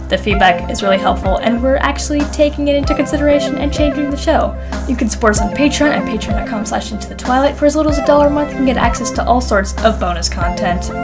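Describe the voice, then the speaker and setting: A high-pitched woman delivers a podcast host's sign-off in a casual way. Loud music plays behind her. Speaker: podcast co-host.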